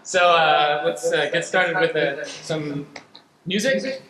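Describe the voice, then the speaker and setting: A man's voice: on the high side, slow pace. A man hosting an improv show on stage in a casual, chatty way. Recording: echoey stage, loud background noise.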